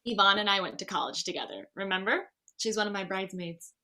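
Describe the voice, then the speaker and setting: An actress playing a gushing, tipsy, eager bride-to-be in a comedy table read. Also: female voice, medium pitch, quick pace. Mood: tipsy, friendly. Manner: excitable, effusive.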